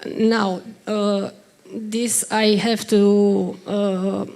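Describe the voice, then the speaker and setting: A woman with a Romanian accent giving a halting workshop presentation in English while unwell, pausing often to search for words. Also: mid-range voice, flat delivery, a little mellow. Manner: hesitant, many ums and uhs, searches for words.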